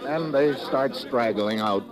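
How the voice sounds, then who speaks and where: A man's voice: mid-range voice, takes it slowly, a crowd in the background, natural voice, low-quality recording. An actor playing a wisecracking, tough male government agent who also narrates, in a hard-boiled, breezy manner. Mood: wry. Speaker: narrator and lead. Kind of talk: 1950s radio drama acting.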